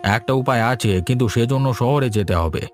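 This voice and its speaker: A man voicing a grave but kindly village doctor in a cartoon, with solemn, deliberate delivery. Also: mid-range voice, lightly acted. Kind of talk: Bengali moral-tale cartoon dubbing.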